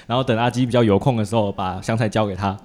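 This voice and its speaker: A man's voice: lowish voice, steady tone, racing, a little mellow. A man with a Taiwanese Mandarin accent hosting a casual chat, joking and colloquial, with lots of little interjections. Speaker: podcast host interviewing a guest.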